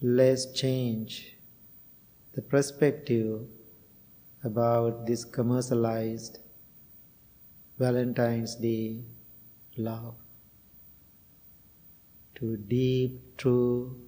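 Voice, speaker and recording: Slow, gentle Sri Lankan-born monk. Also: male voice, mid-range voice, flat delivery, in a large hall. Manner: slow, gentle, soft-spoken guidance with pauses. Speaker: Buddhist monk and meditation teacher. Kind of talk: guided meditation and dharma talk.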